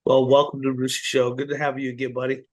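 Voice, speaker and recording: A male podcast host with a raspy voice, hoarse from the flu, chatting in a friendly, joking way and asking halting questions over a video call. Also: mid-range voice, completely flat delivery, no room sound. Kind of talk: casual interview chat.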